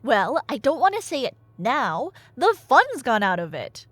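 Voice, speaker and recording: A woman with a fairly high, very animated voice tells a story like a nerdy girlfriend on a ramble. She takes her time and swings her pitch around, dropping playful, mock-indignant asides. The recording is dry and close. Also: a little slow, no room sound. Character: chatty nerdy girlfriend excitedly retelling Greek myths. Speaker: audio roleplay performer playing a girlfriend retelling a myth. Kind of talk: casual rambling storytelling in a one-sided audio roleplay.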